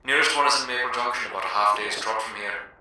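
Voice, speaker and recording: A man's voice: lowish voice, quick pace, sharp tone, voice pushed up. A man voicing a deferential, practical servant pony who works as a ship's engineer, folksy and rambling, thin and distant as if through a speaking tube. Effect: muffled voice from downstairs or speaking tube.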